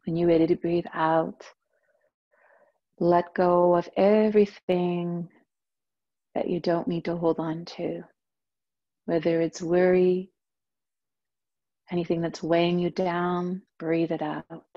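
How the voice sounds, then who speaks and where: Slow, soft-spoken woman guiding meditation. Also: on the low side, flat delivery, highs cut off. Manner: slow, soft, soothing instruction. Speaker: yoga teacher. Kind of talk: guided meditation.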